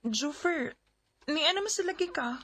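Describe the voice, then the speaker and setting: A Filipina with a Bisaya accent playing a gentle but frank young woman who is reluctant about being courted, in a radio drama. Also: high voice, lively intonation, measured pace, a little hiss or hum. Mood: apologetic, wary.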